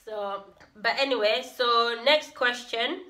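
A young woman with a Zambian accent chatting casually to camera as a vlogger, in an expressive, informal way. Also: on the high side, takes it slowly, soft background music, low-quality recording. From Zambia, Copperbelt. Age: young adult. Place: plain small indoor room.

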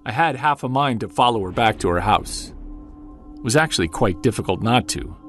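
An actor playing a troubled, guilt-ridden husband and Bigfoot investigator in an audio drama, earnest and confessional. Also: male voice, mid-range voice, expressive, brisk, a bit bright, faint music behind, natural voice. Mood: brooding, angry. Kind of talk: audio drama monologue and dialogue.

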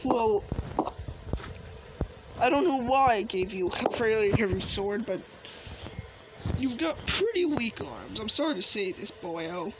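A woman playing a frank, fatherly knight in an improvised game roleplay, explaining things in a halting, matter-of-fact way. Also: fairly high pitch, expressive, noisy background. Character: fatherly knight, frank mentor to his son.

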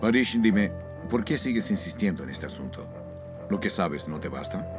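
A man in his fifties dubbing a calm, guarded father figure in a TV drama, speaking low and measured. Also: music in the background. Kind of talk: Spanish dub of a TV drama.

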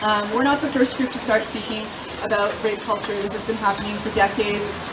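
A young woman organizer giving an earnest public speech at an outdoor rally, halting now and then with fillers and restarts, heard through a handheld mic and speakers. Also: medium pitch, steady tone. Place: outdoor city square at a rally. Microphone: handheld mic through speakers, filmed from far off. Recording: echoey PA sound from far off, loud crowd noise.

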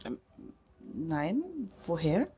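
A slightly husky Russian woman musician played by an actress in a live audio drama. She is startled and nostalgic, speaking as an old friend would on a stage microphone. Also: deep voice, sing-song, very slow, dark tone, exaggerated voice. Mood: flustered, astonished. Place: tented festival stage.

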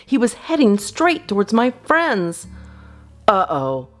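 A woman's voice: mid-range voice, sing-song, slow. A woman reading a children's storybook aloud expressively, as a storytime reader. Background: guitar music.

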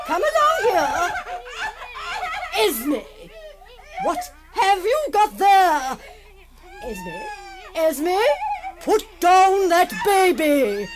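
An actress playing an imperious, unflappable aristocratic baroness in a radio drama, haughty and drily exasperated. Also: female voice, extremely high pitch, noisy background, full character voice. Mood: exasperated, commanding. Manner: haughty, brisk commands, dry understatement. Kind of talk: radio drama acting and wry first-person narration.

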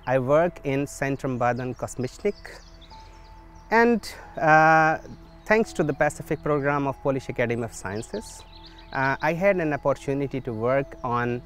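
A man, speaking in a thoughtful, measured way with pauses, recorded outdoors in a garden on a lapel mic. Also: mid-range voice. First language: Hindi. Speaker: solar physics researcher. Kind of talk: documentary interview.

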